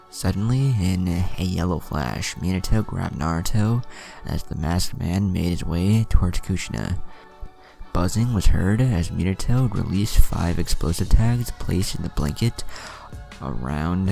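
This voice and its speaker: A man with a gravelly voice reading a scripted fan fiction story as narrator. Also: sits low, mellow.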